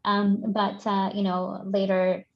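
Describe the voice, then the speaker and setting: A woman in her forties, born in Sri Lanka and raised in Canada, speaking as a guest in a podcast interview, thoughtful with many pauses and restarts. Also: medium pitch, completely flat delivery, vocal fry, muffled recording. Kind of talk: casual interview chat.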